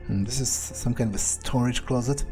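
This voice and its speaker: A man playing a wary, crude, sardonic vampire in an improvised video game roleplay, muttering his thoughts with hesitant pauses. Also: mid-range voice, fairly even intonation, a little mellow, faint music behind, lightly acted. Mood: musing, wary. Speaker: gamer playing in character.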